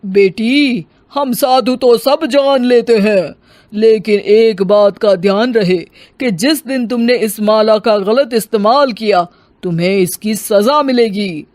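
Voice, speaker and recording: A man voicing a kindly, knowing old wandering holy man in a story, with chanting and drawn-out blessings. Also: high-pitched, no room sound. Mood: solemn.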